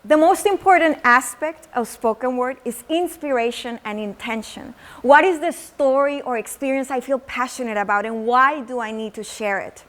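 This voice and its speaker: Casting: Mexican-accented woman, high voice, spoken word artist and teacher. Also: a bit bright. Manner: slow, measured poetic delivery with Spanish words.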